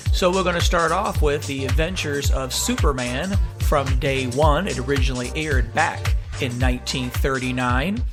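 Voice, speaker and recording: A male host gives a casual radio show introduction. His voice sits at an ordinary pitch, with music clearly playing behind it.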